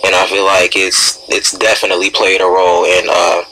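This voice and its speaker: A man with an American accent and a fairly deep voice co-hosts a radio talk show. His tone is bright and forward, and his delivery is conversational. A faint noise sits under the broadcast. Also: level tone, normal speed, rough recording. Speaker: radio co-host.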